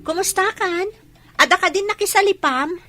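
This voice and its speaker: High, bright, friendly young woman. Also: normal speed, faint music behind. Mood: cheerful, admiring. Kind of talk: Ilocano radio drama acting.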